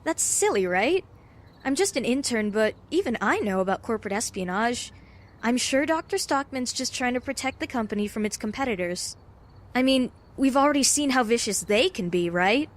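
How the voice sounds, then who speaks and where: Woman acting high-voiced, earnest young intern. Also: animated, normal speed, natural voice. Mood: feigned innocence. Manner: earnest, coaxing, conversational. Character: earnest, probing young female lab intern, brave. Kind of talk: comic-book audio drama acting.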